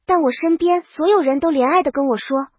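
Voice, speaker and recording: Woman storyteller, high voice, comic first-person narration, very dry but dull lo-fi sound. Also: fairly quick, no echo. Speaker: audiobook narrator.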